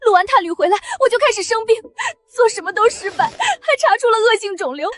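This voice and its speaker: Woman acting a very high-pitched, desperate young woman. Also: big pitch swings, fast talker, fairly bright tone, a little hiss or hum, full character voice. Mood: anxious, accusing. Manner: pleading, agitated. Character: desperate young woman entertainer, anxious and defensive. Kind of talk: audio drama dialogue.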